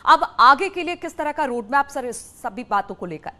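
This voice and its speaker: A woman's voice: high-pitched, lively intonation, normal speed, pushed and bright. A woman, a TV news interviewer asking long, polite, formal questions.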